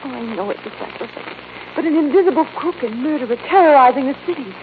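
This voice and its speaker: High-pitched earnest 1940s radio heroine. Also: female voice, animated, fast. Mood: frightened, pleading. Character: anxious, earnest young woman in a mystery drama. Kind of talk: 1940s radio drama acting. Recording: muffled 1940s radio broadcast with hiss.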